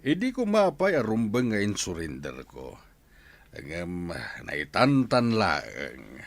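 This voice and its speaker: An actor playing a courteous, forgiving village man in a radio drama, measured and reassuring. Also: deep voice, huge pitch swings, gravelly, dry recording, exaggerated voice.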